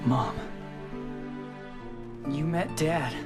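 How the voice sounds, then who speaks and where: An actor playing an earnest, determined teenage son in a video game drama. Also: male voice, a little high, drawn-out words. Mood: troubled, searching. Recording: music behind the voice. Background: music.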